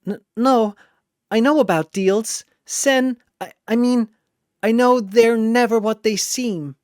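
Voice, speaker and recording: A man's voice: very high-pitched, takes it slowly, darkish tone, smooth, no room sound, clean studio sound, voice pushed up. A man voicing a frightened, wary young girl with a hesitant stammer in an audio story. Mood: scared.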